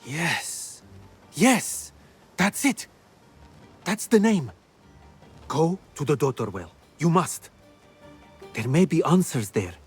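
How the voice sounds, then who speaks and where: A man voicing a wise ancient physician spirit, speaking in a solemn, grave and measured way in game dialogue. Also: on the high side, big pitch swings, breathy edge, faint music behind, full character voice. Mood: excited.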